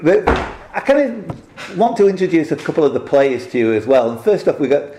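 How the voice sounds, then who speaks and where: A man's voice: a little high, moderate pace, noisy background. Male quiz host, mature, off-the-cuff and jokey, rambling delivery, roomy small-venue sound. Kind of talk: casual unscripted introduction and player interviews. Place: quiet room in a pub, indoors. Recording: echoey small room.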